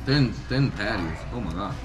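A man's voice: on the low side, lively intonation, moderate pace, noisy background. A young man in a restaurant casually chatting about the food, easygoing, with short agreeable replies. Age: young adult. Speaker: co-host. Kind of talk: casual food review chat. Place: fast-food restaurant dining room.

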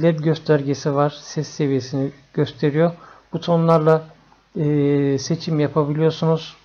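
A man narrating an informal electronics tutorial in an unhurried, matter-of-fact way. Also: on the high side, dark tone. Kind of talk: informal explanatory screencast narration. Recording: dull, lo-fi screencast audio.

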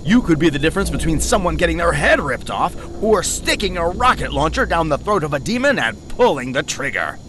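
An actor playing a slick, gleefully morbid announcer in an audio drama, with a theatrical, hype-building delivery. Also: male voice, fairly high pitch, sing-song, brisk, hardly any pauses, noisy background. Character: slick, gleefully morbid male livestream announcer of a doomed world. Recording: loud music under voice.

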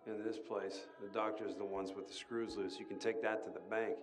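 A man's voice: lowish voice, little pitch movement, fairly quick, lightly acted. An actor playing a jaded, restless male asylum patient in a video game, with an American accent and sardonic, old-fashioned slangy wisecracks. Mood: wry, encouraging.

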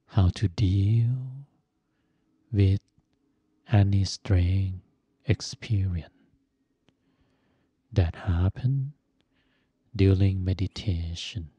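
Very slow, low-voiced man guiding meditation. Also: husky, no room sound, muffled recording. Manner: gentle, instructive, phrase by phrase with long pauses. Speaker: Buddhist monk and meditation teacher. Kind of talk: guided meditation.

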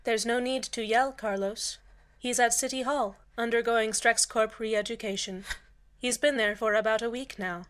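A woman's voice: fairly high pitch, steady tone, normal speed, close to an everyday voice. A woman playing a falsely cheerful, menacing community radio host in a scripted audio drama, soothing, condescending and polite. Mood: calmly taunting. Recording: music bed under the voice.

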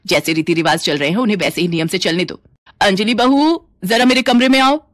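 A woman's voice: medium pitch, brisk, a little hiss or hum, lightly acted. A woman voicing a demanding middle-aged mother-in-law with a stern, instructing manner in a family story.

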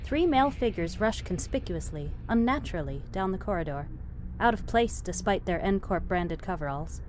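A woman's voice: medium pitch, animated, normal speed, darkish tone, slight background noise. A woman narrating screenplay stage directions as scripted narration. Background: music.

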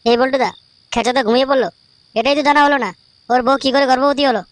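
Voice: high-pitched, steady tone, racing, background noise, close to an everyday voice. A performer voicing a cheeky, gossipy young male sidekick in a comic cartoon dub. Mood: tipsy, teasing.

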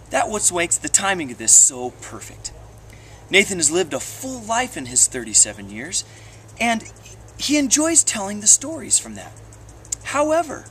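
A presenter delivers a scripted biography-special introduction. The intonation swings widely and is very animated. A slight noise sits behind the voice. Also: medium pitch. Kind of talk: scripted mock-documentary introduction.

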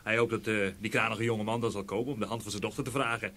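An actor playing a scornful older peasant brother in a fairy tale, blustering and mocking. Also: male voice, lowish voice, fairly even intonation, quick pace, creaky, a bit bright. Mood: flustered.

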